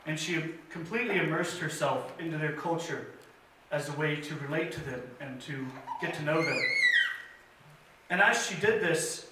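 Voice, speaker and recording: A man telling a missionary's life story at a church story time, in an earnest, measured way with pauses and small fillers. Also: on the high side, background noise. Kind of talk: children's story time retelling. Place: church sanctuary. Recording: very echoey church hall from a distance.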